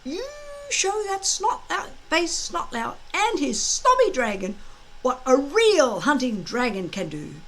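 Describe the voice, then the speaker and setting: Woman doing a young boy, anxious, pleading, urgent, storybook voice, bright tone. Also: sits high, very animated, slow pace, slight background noise, full character voice.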